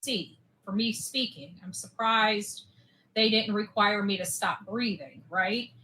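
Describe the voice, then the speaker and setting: A woman's voice: medium pitch, slow pace, sharp tone, low-quality recording. A woman speaking in an informal monologue to her show's audience, indignant and sarcastic. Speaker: online show host.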